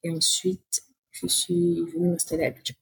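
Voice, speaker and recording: A woman in her late thirties talks as a podcast guest, her voice slightly low and a little husky. She chats freely and rambles, circling back with restarts and small fillers as she goes. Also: normal speed, rough recording. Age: late 30s. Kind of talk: casual interview conversation.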